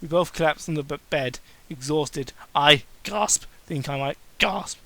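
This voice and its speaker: A man's voice: on the high side, a little slow, gravelly, fairly bright tone. A man doing a mocking dramatic reading, breaking into exasperated, sarcastic, sweary asides. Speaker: amateur reader and commentator.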